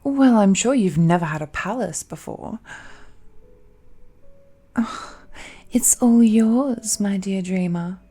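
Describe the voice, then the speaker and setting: A woman playing an ancient, all-powerful, possessive villainess who speaks condescendingly and coaxingly in a romantic audio roleplay, often dropping to a breathy whisper. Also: mid-range voice, slow, long pauses, mellow. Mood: grandly doting. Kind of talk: romantic audio roleplay, intimate monologue to listener.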